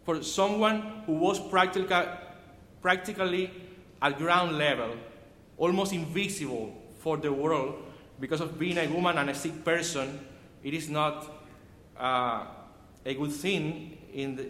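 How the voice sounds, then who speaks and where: A man from Cuba with a Cuban Spanish accent, whose first language is Spanish, preaching a sermon in an earnest, measured way and often restarting words. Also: fairly high pitch, pushed and bright, a little hiss or hum. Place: church sanctuary. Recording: echoey hall from far off.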